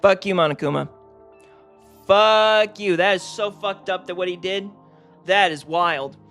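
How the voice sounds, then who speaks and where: Sweary woman streamer with a bright voice. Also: fairly low pitch, unhurried, faint music behind. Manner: sweary, exasperated. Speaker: live streamer. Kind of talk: casual game playthrough with character voices.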